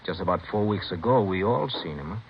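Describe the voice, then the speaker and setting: A man's voice: sits low, lively intonation, fairly quick. A man playing a stubborn, suspicious street hoodlum in a vintage radio crime drama, with slangy, insinuating talk. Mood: suspicious, needling. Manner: slangy, insinuating, uneducated grammar. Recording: muffled vintage radio recording, faint noise.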